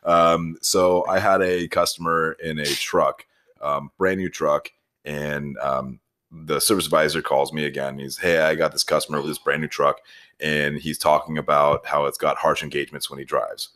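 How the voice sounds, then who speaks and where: A man's voice: extremely low pitch, flat delivery, normal speed, a bit bright. A man chatting casually as a podcast host, telling rambling anecdotes and acting out both sides of conversations. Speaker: podcast host, shop foreman and transmission technician. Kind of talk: casual chat, storytelling.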